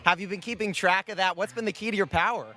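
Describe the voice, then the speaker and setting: A man's voice: high voice, quick pace, sharp tone. A man working as a sports reporter, speaking in a broadcast reporting style.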